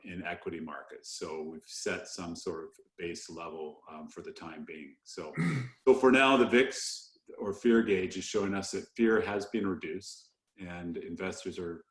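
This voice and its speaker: Low-pitched man in an echoing room. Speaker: portfolio manager. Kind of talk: explanatory market commentary on a conference call. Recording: roomy, echoing conference call.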